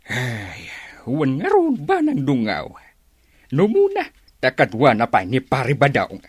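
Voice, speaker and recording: An actor playing an older man who grumbles, with a husky voice, in an Ilocano radio drama. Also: medium pitch, wildly swooping pitch, unhurried, no room sound, clean studio sound, exaggerated voice. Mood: grumbling.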